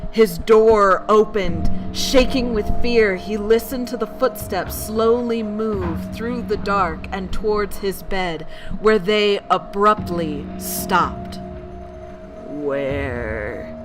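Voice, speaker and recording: A woman's voice: medium pitch, slow. A woman narrating scary tales as a bedtime storyteller, theatrical and full of suspense. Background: background music.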